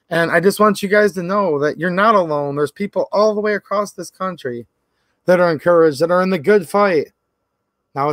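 A man in his thirties hosting a livestream, talking casually and earnestly to his viewers, his voice a little raspy from cold and pollen. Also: sits high, fairly bright tone, no echo. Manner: earnest, rambling, repeats "literally" and "like". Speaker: channel host. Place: indoor workshop or garage.

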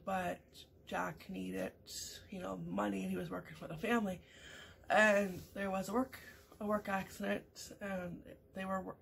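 A woman's voice: medium pitch, vocal fry, a little hiss or hum, rough recording. A woman in her thirties from Canada, chatting casually to her webcam over morning coffee at home, rambling with lots of fillers and restarts. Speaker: vlogger. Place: small home room indoors.

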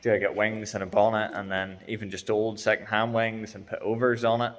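Young guy rambling excitedly about his car, lowish voice, camera held out, big echoey shed. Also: a little fast. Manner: excited, rambling and thinking aloud. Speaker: car vlogger. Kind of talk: casual vlog talk. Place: large metal-roofed garage shed. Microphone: handheld camera at arm's length.

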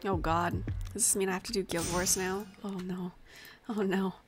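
A woman's voice: lowish voice, little pitch movement, moderate pace. A young adult woman chatting casually and playfully on a gaming livestream, rambling and giggly, into a desk microphone at home. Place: small room at home, indoors. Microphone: desk microphone with headset. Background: music.

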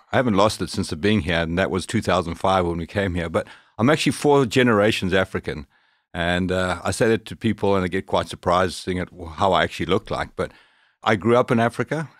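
A middle-aged man with a deep voice and a Zimbabwean accent talks as a podcast guest. He tells his story conversationally, in a very dry recording. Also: fairly quick, a bit bright, no echo. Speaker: podcast guest, professional hunter.

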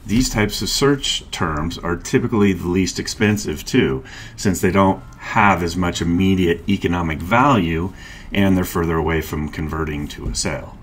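Man, middle-aged, very low voice, calm explanatory tutorial narration, desk mic, faint background hiss. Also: normal speed. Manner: calm, explanatory, walks through clicks step by step. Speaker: marketing instructor. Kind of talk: screen-share tutorial narration.

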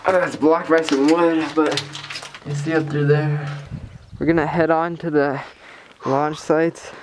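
A man's voice: a little high, little pitch movement, unhurried, background noise. A young man casually narrating as he walks through an abandoned base, describing what he finds. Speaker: urban explorer. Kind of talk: handheld exploring vlog narration. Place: abandoned missile base, indoors and out.

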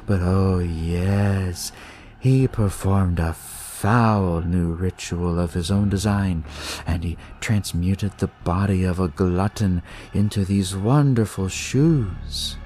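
A man voicing a smug young devil-cult leader in an audio story, with drawn-out, ceremonious pronouncements. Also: low voice, a little mellow, a little hiss or hum. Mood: ominous, grandiose. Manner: slow, drawn-out, ritual pronouncements.

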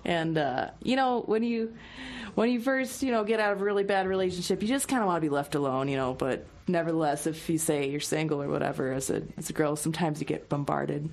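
A woman's voice: low-pitched, quick pace, vocal fry, a little mellow. A woman musician talking casually and jokingly as a guest on a radio show. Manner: joking, playful. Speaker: musician guest, singer-songwriter.

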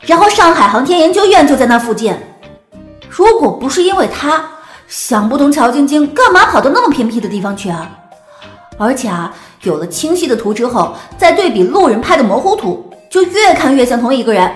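An excitable young woman gossiping online, very high and swooping in pitch. She is emphatic and opinionated, in a roomy audio drama sound with music. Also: brisk. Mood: confident reasoning. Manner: emphatic, exclamatory.

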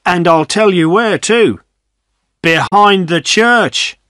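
Village butcher, male, acted by a man narrator: assertive storybook believer, high, slow and emphatic. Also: fairly bright tone, no room sound, clean studio sound. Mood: insistent.

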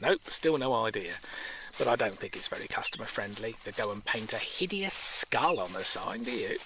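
A man's voice: fairly low pitch, lively intonation, normal speed, pushed and bright, a little hiss or hum. A man playing a mild railway surveyor in an audio drama. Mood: mild, coaxing.

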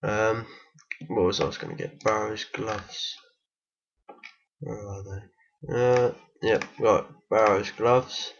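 A man with a croaky voice from being unwell gives a casual, hesitant game tutorial voice-over. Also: lowish voice, slow, long pauses, lo-fi sound. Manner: hesitant, many ums and restarts. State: croaky from illness. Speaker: game guide maker.

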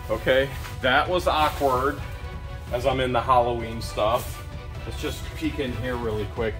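A man's voice: mid-range voice, fairly even intonation, measured pace, over music. An American man in his forties chatting casually in short, joking asides as he hosts a vlog. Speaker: vlog host, storage unit buyer. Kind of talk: casual chat while sorting through finds. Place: inside a metal storage unit. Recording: noisy handheld recording in storage unit.

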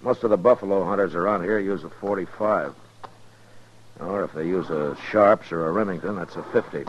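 A muffled, hissy old radio recording with an actor playing a determined frontier marshal. His very low male voice is dry and matter-of-fact, in the steady manner of a 1950s radio western. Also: moderate pace, close to an everyday voice. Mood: explaining, steady.

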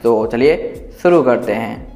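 A man's voice: mid-range voice, expressive, measured pace, warm tone, soft background music. A man presenting an informal tech review in an explanatory, reassuring way. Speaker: tech reviewer, video host. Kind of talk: informal phone software review narration. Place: indoors, echoey room. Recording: roomy indoor echo.

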